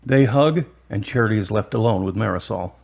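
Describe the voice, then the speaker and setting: A man's voice: fairly low pitch, a little fast, creaky, a little mellow, husky. A man reading scripted narration for a radio drama as its narrator.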